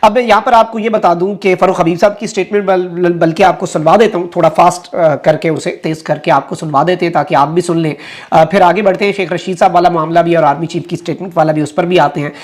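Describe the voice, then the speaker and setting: A man's voice: high-pitched, steady tone, fast. A man with a Pakistani accent presenting political news commentary to viewers, mixing English phrases into his talk.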